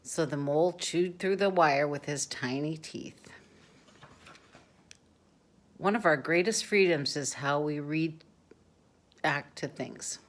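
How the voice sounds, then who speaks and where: A woman with a fairly low voice reads a picture book aloud. She narrates informally, slipping in chatty asides for young listeners. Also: takes it slowly. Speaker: storyteller.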